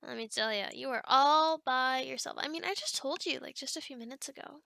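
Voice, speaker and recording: A woman's voice: sits high, fairly quick, vocal fry, sharp tone, no echo, clean studio sound, heavily acted. A woman playing a chatty, fussy sleep paralysis demon in an ASMR roleplay, rambling casually and criticizing playfully straight to the listener.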